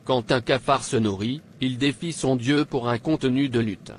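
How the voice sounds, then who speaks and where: A man playing a haughty pagan king in an animated religious drama, giving commands in an imperious manner. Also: medium pitch, moderate pace, slight background noise, close to an everyday voice. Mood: haughty, threatening. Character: haughty, threatening pagan king, later fearful and pleading.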